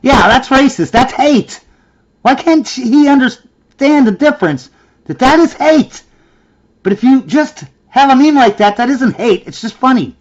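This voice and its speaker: A man's voice: very high voice, expressive, unhurried, dull audio. A man hosting a reaction video, ranting in a sarcastic, scornful way and mocking the clip he watches. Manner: sarcastic, scornful, mimics in a whiny voice.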